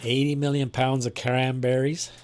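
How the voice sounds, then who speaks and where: Man with a medium-pitched chatty voice. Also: level tone, normal speed, heavy background noise. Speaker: amateur radio net participant. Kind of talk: casual group chat on ham radio.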